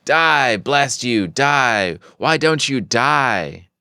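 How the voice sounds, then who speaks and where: A man's voice: mid-range voice, lively intonation, extremely slow, vocal fry, bright, forceful tone, smooth, dry recording, clean studio sound, voice pushed up, full character voice. A man voicing a maniacal, boastful comic-book villain in a theatrical, exclaiming manner. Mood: frantic rage.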